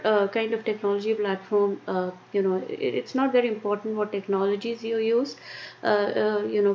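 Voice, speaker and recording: A woman with an Indian accent presenting a live-streamed tech lesson, talking haltingly with many fillers and restarts. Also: medium pitch, warm tone. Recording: muffled lo-fi stream with faint noise.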